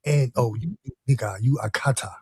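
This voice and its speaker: A man's voice: mid-range voice, fairly quick, slightly rough, no room sound, studio quality. A man talking casually and conversationally as a co-speaker in a discussion, reminiscing informally.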